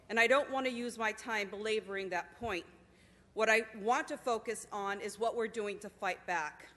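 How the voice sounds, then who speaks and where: A woman's voice: fairly high pitch, a bit bright. A woman from Nicaragua, a union co-president, giving an earnest, formal public comment to a city council. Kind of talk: prepared public comment speech. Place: large council chamber. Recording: long hall reverb from public microphone.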